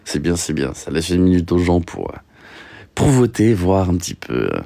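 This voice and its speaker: Young guy streaming, super deep and gravelly, halting, repeats stuff a lot, dry close sound. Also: very animated, dark tone, no echo. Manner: halting, repeats phrases, many fillers. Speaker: streamer reading and answering chat. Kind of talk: casual livestream chat.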